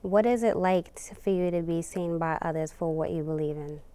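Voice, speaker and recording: Woman asking interview questions, low-ish mellow voice, a bit hesitant. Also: normal speed, clean tone.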